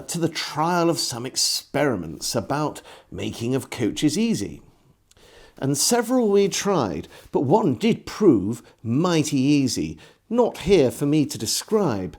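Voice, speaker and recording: Male storyteller, slightly high pitch, very animated intonation, deliberate pauses, literary diary narration. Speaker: storyteller reading a historical diary aloud.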